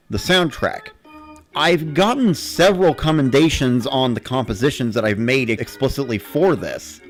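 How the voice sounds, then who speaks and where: Animated male narrator over faint music. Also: medium pitch. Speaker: narrator of a dramatic reading series. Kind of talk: dramatic first-person narration of a game story.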